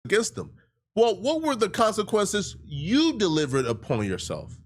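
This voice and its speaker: A man with a somewhat high voice comments casually. His pitch swings widely as he builds an argument out of pointed rhetorical questions. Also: wildly swooping pitch, normal speed.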